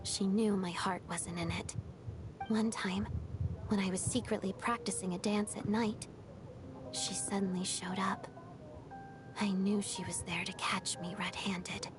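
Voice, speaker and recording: A woman playing a melancholy young ballet dancer in a video game, speaking softly and breathily in a restrained, reflective, measured way. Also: medium pitch, steady tone, music in the background, lightly acted. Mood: quiet, regretful. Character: melancholy young female ballet dancer and former Fatui trainee. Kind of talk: video game story dialogue.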